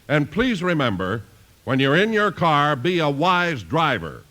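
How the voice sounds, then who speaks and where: Old 50s radio announcer guy, formal punchy script read, sharp bright voice, lots of lift. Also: on the high side, sing-song, unhurried. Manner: formal, emphatic. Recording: vintage 1950s radio recording.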